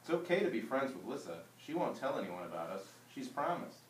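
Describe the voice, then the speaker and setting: A man's voice: medium pitch, big pitch swings, fairly quick, pitched above the performer's own voice, close to an everyday voice. A man reading as a coaxing peacemaker girl, echoey. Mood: urgent. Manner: coaxing, earnest. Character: protective, reassuring girl, peacemaker between friends. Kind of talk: storybook read-aloud. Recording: roomy distant mic with background noise.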